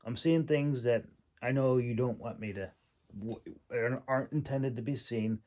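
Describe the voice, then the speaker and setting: A man giving an informal, unscripted critique in a halting, hesitant way, with many pauses and repeated words. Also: medium pitch, darkish tone. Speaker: audiobook narrator and manuscript critic.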